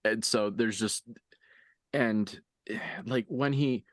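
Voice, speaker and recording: A man working as game master, improvising narration for a tabletop roleplaying game with deliberate, halting phrasing and dramatic emphasis. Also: medium pitch, a bit bright, no echo. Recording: dry voice over background music.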